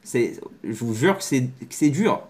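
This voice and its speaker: Animated man talking to camera. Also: medium pitch, a little slow. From Morocco. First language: Moroccan Arabic. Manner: animated, blunt, slangy. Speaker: vlogger. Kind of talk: casual monologue to camera.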